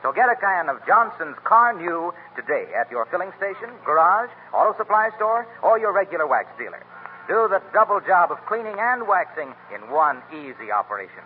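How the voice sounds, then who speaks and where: A man's voice: high-pitched, big pitch swings, moderate pace, phone-quality sound, slight background noise. An American man announcing in polished, formal 1930s radio style, like a sponsor's salesman, on an old broadcast recording.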